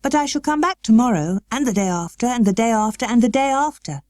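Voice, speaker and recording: Woman as an eager, high-voiced imperious young boy. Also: expressive, fast talker, darkish tone, no room sound, natural voice. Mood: eager, determined. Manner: eager, emphatic, well-spoken. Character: imperious, excitable frail young boy of the gentry. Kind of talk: audiobook character acting.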